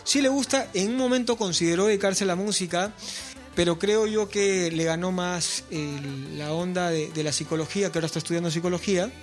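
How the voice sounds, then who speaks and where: High-voiced man, eager chat. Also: brisk, faint music behind. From Peru. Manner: earnest, repeats words, many fillers. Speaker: musician guest. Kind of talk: casual interview chat.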